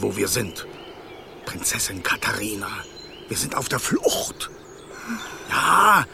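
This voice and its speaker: An actor playing a bossy, grumpy but caring golden snake guide in a radio play, brusque and scolding. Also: male voice, fairly high pitch, gravelly, background noise, heavily acted. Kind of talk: German radio play acting.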